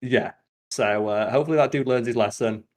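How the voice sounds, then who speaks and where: A man's voice: medium pitch, brisk, a little mellow. A man chatting casually as a podcast host, blunt and opinionated, with plenty of swearing.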